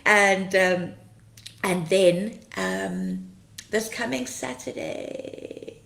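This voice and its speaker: A woman, slightly croaky from being ill, hosting a casual ministry livestream, chatting, laughing often and greeting viewers by name. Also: medium pitch, huge pitch swings, very slow, a bit bright, echoey room. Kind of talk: casual livestream chat reading comments.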